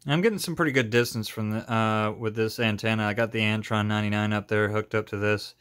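Clear-voiced man, casual and rambling. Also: fairly low pitch, steady tone, fast, fairly bright tone. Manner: informal, rambling. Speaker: hobbyist video maker. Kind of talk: casual product show-and-tell.

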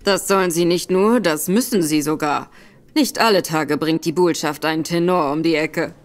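A woman voicing a sly, affable gentleman in disguise who probes with feigned forgetfulness, in a radio play. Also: deep voice, moderate pace, voice pushed down, close to an everyday voice. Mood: probing, sly. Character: smooth gentleman Virgil Barker, a man, sly and probing.

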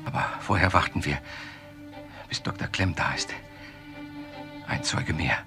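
An actor playing a desperate, controlling professor in a crime radio play, speaking in a deliberate, halting, insistent way. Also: male voice, a bass voice, creaky, music in the background, exaggerated voice. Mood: urgent, scheming. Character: desperate, controlling professor who confesses to killing his wife.

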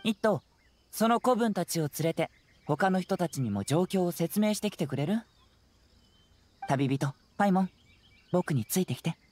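A man voicing an earnest, composed young man in an anime fantasy game, explaining things clearly. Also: sits high, expressive, moderate pace, long pauses, a little mellow.

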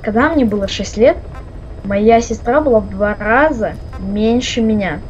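A woman's voice: medium pitch, measured pace, darkish tone, muffled recording, slight background noise. A woman chatting casually during a game, teasing and insistent, repeating herself. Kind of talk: casual chat while gaming.

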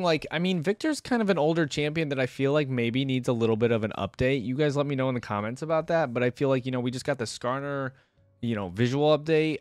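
Host: young male, informal rambling reactor, dry close mic, mid pitch. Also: no echo. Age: young adult. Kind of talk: casual reaction commentary. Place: indoor room at a desk. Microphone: close desk microphone, headset worn.

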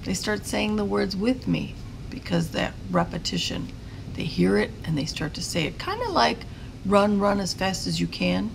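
A middle-aged woman, a teacher, chatting casually to young pupils in an animated, questioning way. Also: mid-range voice, moderate pace, gravelly. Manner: animated, questioning, addressing children. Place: school classroom, indoors. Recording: very noisy classroom recording.

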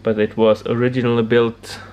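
Man with halting delivery, noisy room. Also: medium pitch, little pitch movement, darkish tone. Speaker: amateur radio hobbyist presenting homebrew project. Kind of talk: casual unscripted demonstration. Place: indoor home workshop. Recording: clear background noise, room ambience.

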